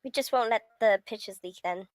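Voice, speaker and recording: A woman's voice: medium pitch, fairly quick, dry recording, studio quality. A woman streamer reading a visual novel aloud, giggly and breaking from the script with casual reactions. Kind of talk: live stream read-aloud with casual asides.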